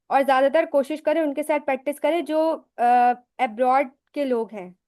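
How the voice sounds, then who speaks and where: Woman, high voice, casual live-session teacher, chatty and self-answering. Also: a little mellow. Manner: chatty, explains with rhetorical questions and personal examples; mixes English phrases into her talk.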